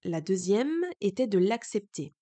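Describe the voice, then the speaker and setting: A woman's voice: mid-range voice, sing-song, unhurried, warm tone. A woman hosting a podcast, speaking candidly and earnestly in a reflective personal monologue, her voice changed by a cold. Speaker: podcast host.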